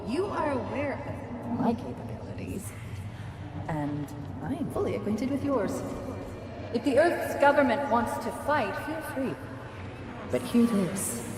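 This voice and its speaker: An actress voicing an imperious artificial intelligence turned tyrant in a video game, coldly calm, formal and commanding. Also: female voice, fairly high pitch, a little slow, noisy background. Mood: commanding threat. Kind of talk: dramatic video game dialogue. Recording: very echoey, long reverb, music behind.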